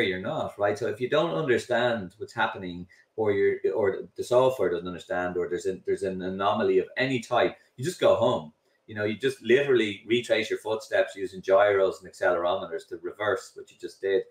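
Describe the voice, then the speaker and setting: A man's voice: on the low side, fairly quick. A middle-aged Irish man, a company founder, explaining his work in a video call interview, often restarting and repeating words. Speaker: drone delivery company founder, guest. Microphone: webcam and computer mic on a video call. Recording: roomy video call audio, slightly distant.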